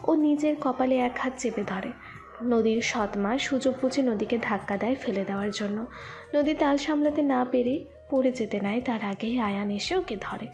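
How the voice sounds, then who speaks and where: Higher-voiced woman narrating a story. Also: normal speed, a little mellow. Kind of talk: scripted dramatic story narration.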